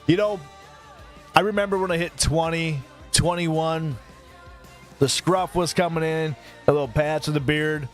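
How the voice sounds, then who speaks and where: A man's voice: on the high side, fairly even intonation, measured pace, pauses often, soft background music. A man in his mid-thirties chatting casually on a live stream, rambling between topics and thanking viewers by name. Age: 30s.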